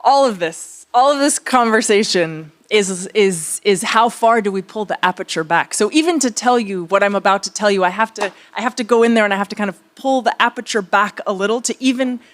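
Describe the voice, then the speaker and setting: A woman speaking conversationally as an artist at a public talk, telling stories in a reflective, searching way, pausing and circling back. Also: medium pitch. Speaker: theater artist speaking on a panel.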